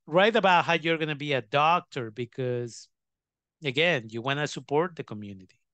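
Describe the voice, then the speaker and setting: A man's voice: on the high side, sharp tone, clean tone, no room sound. A man giving an instructional talk as an educator, speaking in an emphatic, direct way to students. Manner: emphatic, direct, checks in with "right" and "okay". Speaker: educator presenting scholarship advice.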